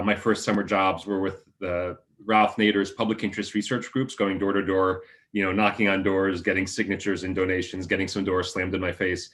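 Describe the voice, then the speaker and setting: A man from the United States, a panelist introducing himself in a discussion, speaking in a measured, hesitant way with many "uh"s. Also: low voice, a bit bright.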